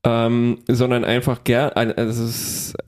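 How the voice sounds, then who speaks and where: A young man from Poland, whose first language is Polish, talking in a relaxed, thoughtful conversation with many pauses and fillers. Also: medium pitch. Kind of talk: casual conversation.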